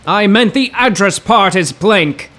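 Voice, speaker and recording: An actor playing a timid, flustered poetry professor in a 1930s-style radio comedy, speaking in formal, ornate, apologetic phrases. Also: male voice, sits high, animated, measured pace, fairly bright tone.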